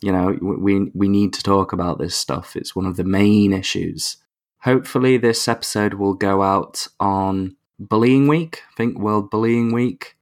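A man's voice: fairly low pitch, fairly even intonation, moderate pace. A man hosting a podcast in a casual, jokey way, sometimes stumbling over his words. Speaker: podcast host.

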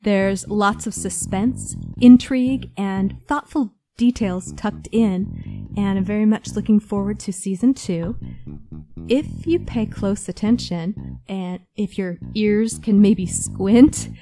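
A woman's voice: medium pitch, wildly swooping pitch, slow pace, creaky, mellow. A woman reading a scripted review in an articulate, enthusiastic manner. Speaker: listener reviewing favourite audio dramas. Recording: guitar music behind. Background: faint plucked guitar music.

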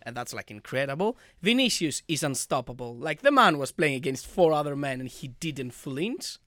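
A man's voice: a little high, expressive, moderate pace, fairly bright tone, dry recording, studio quality. A man whose first language is not English, co-hosting a casual football chat show and giving eager, opinionated takes. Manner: eager, opinionated, laughs easily.

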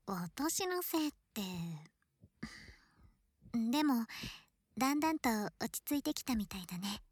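Woman acting a soft, teasing girlish best friend, unhurried. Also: high voice, big pitch swings, no echo, heavily acted. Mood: tender teasing. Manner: playful, coaxing, breathy, often dropping into whispers. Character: teasing, affectionate young best friend turned into a girl. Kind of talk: Japanese ASMR situation voice drama, close intimate roleplay. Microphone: close ear-to-ear ASMR microphone.

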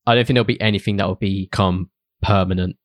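A man's voice: low-pitched, steady tone, fast, no room sound, studio quality. A British man chatting on a podcast, thoughtful and hesitant, often restarting his sentences. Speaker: podcast co-host. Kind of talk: casual podcast chat.

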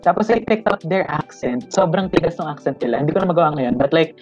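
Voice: medium pitch, expressive, fast, darkish tone, muffled recording, faint music behind. A podcast co-host with a Filipino accent who talks casually, laughs often and mixes Tagalog and English with lots of fillers. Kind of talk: casual chat.

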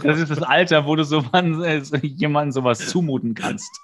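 A man with a slightly high voice co-hosts a podcast. He retells a show casually and comedically, dropping in wry asides and mimicking characters as he goes. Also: brisk. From Hesse, Germany. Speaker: podcast co-host. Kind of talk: casual comedic episode recap.

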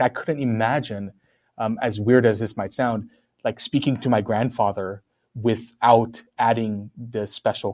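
A man's voice: fairly low pitch, darkish tone. A man whose first language is Korean, raised in Korea, talking through ideas in a casual academic discussion, with frequent fillers and restarts. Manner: thoughtful, many fillers and restarts.

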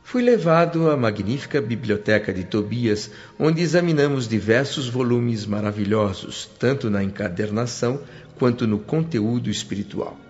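Music plays under a slightly muffled recording of an actor playing an earnest Brazilian man in a radio serial. He tells his own story with measured, formal reverence, as a grateful newcomer full of wonder. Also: medium pitch, lively intonation, darkish tone, lightly acted. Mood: reverent wonder. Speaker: narrator and protagonist. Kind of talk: dramatized radio serial narration.

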